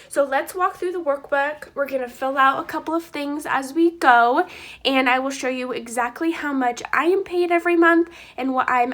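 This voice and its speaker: A woman's voice: high voice, a bit bright. A woman in her twenties, stuffy from a cold, hosting a chatty, upbeat budgeting walkthrough for her viewers. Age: 20s. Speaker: channel host.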